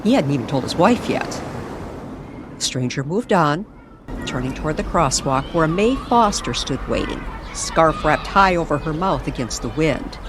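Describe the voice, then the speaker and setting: A woman narrating an eerie, nostalgic story as a storyteller, in a measured and dramatic manner. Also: low-pitched, expressive, background noise. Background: music under the voice.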